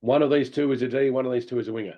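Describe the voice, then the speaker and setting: A man coaching amateur hockey players over a call, talking through game footage in an animated way and laughing often. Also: mid-range voice, rapid-fire, dull audio. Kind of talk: video review of game footage. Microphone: video call.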